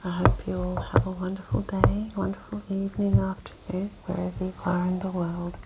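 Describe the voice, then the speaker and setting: A woman whispering softly as she reads a children's picture book aloud for an ASMR session. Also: lowish voice, monotone, fairly quick, very noisy. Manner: soft gentle whisper. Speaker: host. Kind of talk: ASMR whispered storybook reading.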